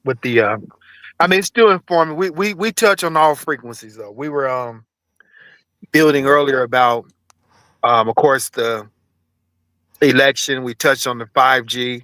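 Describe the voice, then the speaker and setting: A man's voice: mid-range voice, moderate pace, long pauses, sharp tone, dry recording, poor audio. A man hosting a livestream in casual chat, rambling, profane and self-assured. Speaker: livestream host.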